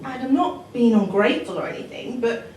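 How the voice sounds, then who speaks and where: A woman from Jamaica works through a stand-up set with a wry, self-mocking edge. She often laughs at her own lines. Her voice is high and swoops around a lot, caught from a distance in a very echoey club with audience laughter behind it. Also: lively intonation, fairly quick, a little mellow, slight background noise. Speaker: stand-up comedian. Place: comedy club stage.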